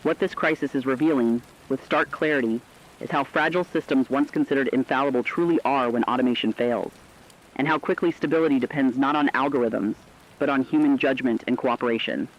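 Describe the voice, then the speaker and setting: A man voicing a serious news correspondent in a dystopian radio broadcast, reading formal, measured scripted reports. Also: medium pitch, natural voice, poor audio.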